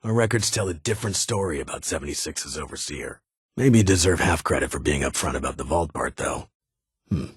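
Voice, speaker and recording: A stern ghoul officer from a video game, with a very rough, raspy and low voice. He speaks in clipped, formal military fashion, guarded and dutiful, with dry authority. The sound is close and dry. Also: expressive, fairly quick, dry recording, full character voice. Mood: stern, skeptical. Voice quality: raspy, gravelly. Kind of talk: video game character dialogue.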